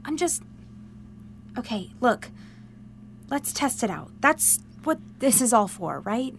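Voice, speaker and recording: A young woman playing an excitable, caring, pushy confidante who talks in an informal, earnest, coaxing way in a modern audio drama. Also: fairly high pitch, slow, creaky, slight background noise, heavily acted. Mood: gentle, coaxing.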